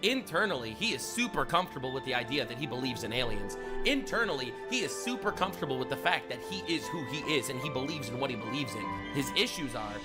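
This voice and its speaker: A man's voice: medium pitch, big pitch swings, fast talker, few pauses. A man delivering scripted analytical commentary as a video essayist, emphatic and building points through rhetorical repetition. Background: music.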